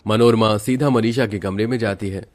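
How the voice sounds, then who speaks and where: A man with a low-pitched voice narrates a scripted family story. He delivers it as a storyteller reading prepared text. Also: fairly even intonation, moderate pace, darkish tone.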